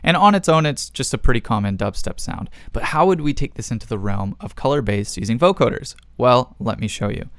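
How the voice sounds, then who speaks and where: A man's voice: mid-range voice, lively intonation, brisk, hardly any pauses, a bit bright. A man hosting a music production tutorial, explaining things step by step in a casual way.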